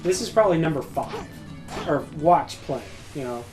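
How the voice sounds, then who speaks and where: A man's voice: on the high side, animated, moderate pace, rough recording. A man chatting casually as co-commentator on a let's play, nitpicking in an exasperated way. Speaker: co-commentator on a gaming video. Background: video game music.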